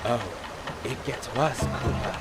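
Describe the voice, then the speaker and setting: A man playing an arrogant, menacing villain lord of dark arts in a video game, with pompous, theatrical taunting. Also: medium pitch, slow pace, over loud music, lightly acted. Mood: cold threatening. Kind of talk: video game cutscene acting.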